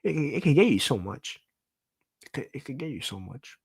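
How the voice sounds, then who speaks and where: A man's voice: medium pitch, big pitch swings, brisk, vocal fry, husky. Young man, solo sports talk host, ranting, exasperated, stammering repeats, casual swearing. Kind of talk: casual venting monologue.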